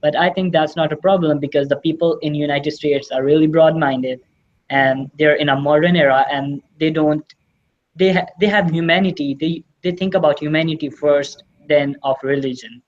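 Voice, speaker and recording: A man's voice: fairly high pitch, steady tone, moderate pace, lo-fi sound. A teenage exchange student from Pakistan, Urdu his first language, answering interview questions earnestly and enthusiastically. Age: teens. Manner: earnest, enthusiastic, repeats "really" often.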